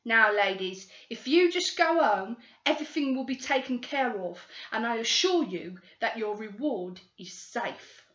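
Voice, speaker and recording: A woman's voice: on the high side, expressive, unhurried, few pauses, fairly bright tone. Woman playing a brusque, bullying detective. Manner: blunt, impatient, commanding. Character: gruff, brusque middle-aged male detective. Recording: roomy, slightly dull recording.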